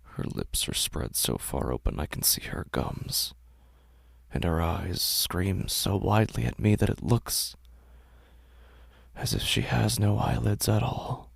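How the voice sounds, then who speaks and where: Deep, gravelly male horror narrator. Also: very animated, no room sound. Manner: hushed, slow, often whispered. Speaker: narrator and host.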